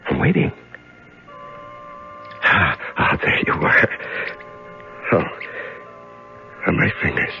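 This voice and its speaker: A panicked captive in darkness, gravelly voice, wildly swinging pitch, slow halting delivery, muffled old radio sound. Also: male voice, wildly swooping pitch, heavily acted. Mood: calm, coaxing. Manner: panicky then hushed self-soothing. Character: terrified man locked in a dark room. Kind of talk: 1950s radio drama monologue. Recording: muffled old radio broadcast, faint music.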